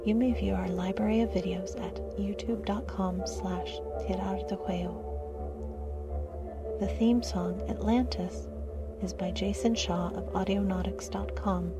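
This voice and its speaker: A woman announcer reads a scripted podcast sign-off. Her voice sits at an ordinary female pitch, and loud theme music plays behind her throughout. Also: fairly even intonation, measured pace. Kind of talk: scripted outro read.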